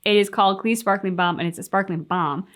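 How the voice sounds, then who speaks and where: A woman's voice: mid-range voice, a little fast. A woman co-hosting a reaction show in casual, conversational chat.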